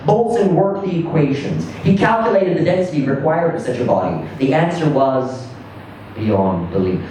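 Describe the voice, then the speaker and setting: A man performs a first-person story in a very echoey space, heard at some distance from the microphone. His delivery is conversational and theatrical, with lively swings in pitch and deliberate pauses. Faint noise sits under the voice. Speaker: reader performing a short story. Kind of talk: dramatic first-person story reading to a live audience. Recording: roomy, echoing, distant mic with faint noise.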